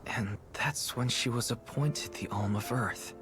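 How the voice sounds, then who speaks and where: A man voices a young warrior hero from a fantasy game, earnest and loyal, with a mid-pitched voice full of feeling. Clear music plays behind him. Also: unhurried, natural voice. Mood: sympathetic. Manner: earnest, expressive. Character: earnest young male soul guard hero, loyal and emotional. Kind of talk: video game cutscene acting. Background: background music.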